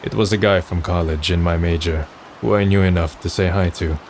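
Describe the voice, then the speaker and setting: An actor playing a wry, introspective young college student who narrates and speaks as the lead in a manga drama dub, understated and reflective. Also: male voice, low-pitched, fairly even intonation, brisk, a little hiss or hum. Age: young adult. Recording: music under the voice.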